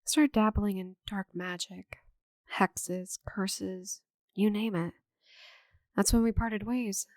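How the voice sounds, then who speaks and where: A woman playing a friendly, chatty, slightly scatterbrained forest witch in an ASMR roleplay audio drama, with a gentle, theatrical manner. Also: mid-range voice, steady tone, slow, dry recording, natural voice. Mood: wry reflective.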